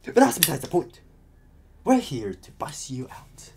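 A man playing a talkative, restless psychopath convict in an ASMR roleplay, protective and scheming, with halting, muttered asides. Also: fairly high pitch, wildly swooping pitch, creaky, breathy edge, exaggerated voice. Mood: insistent, protective.

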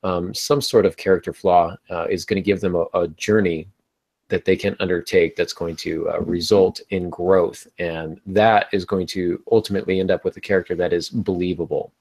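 A man in his thirties with a low voice talks as a podcast host. He explains his points in an instructive way, speaking over a video call from a small home office. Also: fairly even intonation, moderate pace, darkish tone. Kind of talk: explanatory podcast talk on writing.

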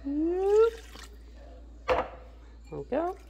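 A woman's voice: very high voice, sing-song, extremely slow, creaky, warm tone, highs cut off, slight background noise. A woman from the United States in her home kitchen, chatting through each step of her meal prep as she cooks. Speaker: home cook vlogger. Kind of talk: casual cooking narration.

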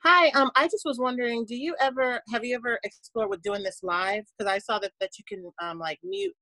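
A woman's voice: on the high side, brisk, bright, forceful tone, dry recording, low-quality recording. A woman casually asking a question as an attendee on a video call.

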